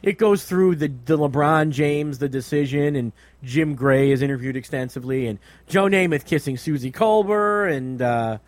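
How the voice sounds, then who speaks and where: High-voiced man, blunt podcast chat. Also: normal speed, hardly any pauses, no echo. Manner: profane, blunt, rambling with self-interruptions. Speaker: podcast co-host. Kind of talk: casual chat, reviewing a book.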